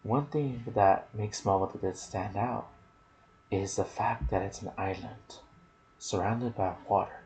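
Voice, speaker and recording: A soft-spoken man narrating video game lore in an ASMR style, with a hushed, deliberate delivery in short phrases. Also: on the low side, low-quality recording. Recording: clear background noise.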